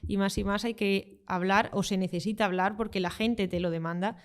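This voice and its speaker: A young woman, a football translator and guest, answering interview questions in a relaxed, explanatory way, with fillers as she thinks. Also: medium pitch, fairly quick. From Spain.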